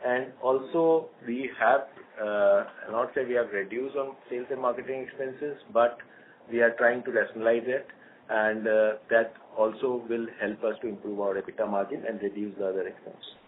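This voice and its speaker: A man's voice: mid-range voice, fairly even intonation, normal speed. A man with an Indian accent explaining things to analysts as a company executive on an earnings call. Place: conference phone call. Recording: tinny phone line with clear noise.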